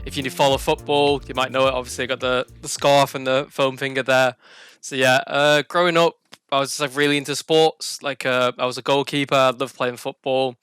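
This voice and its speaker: A young northern English man with a bright, crisp voice, chatting casually as a guest. His delivery is very even in pitch and recorded dry. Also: fairly quick, no echo, faint music behind. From Burnley, northern England. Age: young adult. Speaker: professional esports player, guest. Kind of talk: casual chat.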